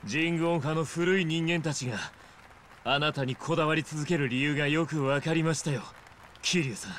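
A man's voice: a little high, fairly quick, fairly bright tone, a little hiss or hum, natural voice. An actor playing an elegant, vain male fighter and club owner in a video game, speaking with polished, formal theatricality. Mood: admiring, grandiose. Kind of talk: dramatic video game acting.

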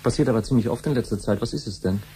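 Male actor as defiant teenage son, fast pace, mellow timbre, flippant, vintage radio play. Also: medium pitch, natural voice. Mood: wary. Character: Emanuel, defiant music-loving teenage son. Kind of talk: 1970s German radio drama acting. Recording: slightly noisy 1970s radio recording with hum.

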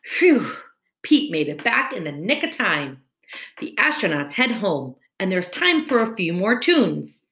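A woman's voice: medium pitch, a little slow. A woman in her thirties reading a children's storybook aloud like a teacher, expressively, at a computer mic in a room at home. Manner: expressive, addressing young children. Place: indoor room at home, screen-recorded webcam video. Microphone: computer mic at webcam distance. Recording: roomy, echoing home recording.

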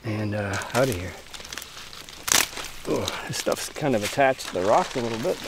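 A man's voice: on the low side, normal speed. A man vlogging on a rocky beach, casually thinking aloud as he gathers seaweed. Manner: relaxed, thinking aloud while working. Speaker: outdoor cooking vlogger. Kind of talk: casual narration to camera. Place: rocky seashore outdoors. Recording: noisy outdoor recording.

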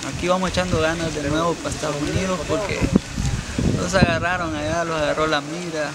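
A man with a slightly high voice tells a story in a chatty way and acts out the dialogue. He is a migrant being interviewed. Loud traffic noise fills the outdoor background. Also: little pitch movement, a little fast.

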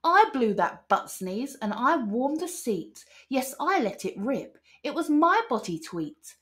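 A woman's voice: sits high, big pitch swings, a little slow. A woman voicing a small, feisty male numbat in a storybook, exclaiming insistently. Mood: gleeful, proud.

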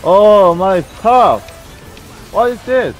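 A man's voice: sits high, animated, drawn-out words. A man on voice chat during a game, answering his partner in short, repeated, clipped exclamations. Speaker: gaming duo partner. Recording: music behind the voice.

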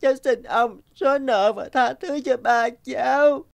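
Earnest little boy, high-pitched. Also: unhurried, vocal fry. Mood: sad. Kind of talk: cartoon voice acting. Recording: music bed behind the voice.

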